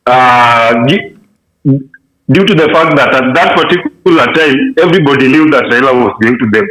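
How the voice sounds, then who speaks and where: Kenyan dude on speakerphone, echoey, argues fast then trails into uhs. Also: male voice, medium pitch, expressive, a bit bright. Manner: argumentative, rapid bursts, frequent fillers. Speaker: radio caller. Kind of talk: call-in opinion.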